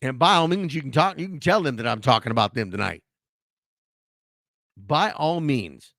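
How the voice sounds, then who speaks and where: A hoarse man hosting a sports talk show, ranting in a casual, animated way with frequent restarts. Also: a little high, moderate pace, no room sound, studio quality.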